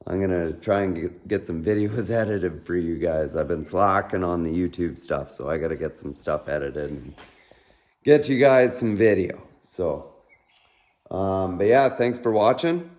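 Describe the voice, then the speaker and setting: A man with a hoarse, raspy voice chatting casually in a vlog about his shop, rambling and trailing off with fillers. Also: low-pitched, measured pace, darkish tone. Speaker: vlogger. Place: garage shop, partly outdoors.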